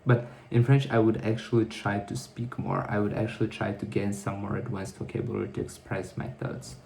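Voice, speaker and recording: A man with a low, gravelly voice rambles through a casual diary-style vlog, often stopping to correct himself. His tone is dark and mellow, and the echo of a bare room hangs around his words. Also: level tone, fairly quick, a little hiss or hum. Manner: rambling, self-correcting, thinking aloud. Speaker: vlogger. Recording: echoey room.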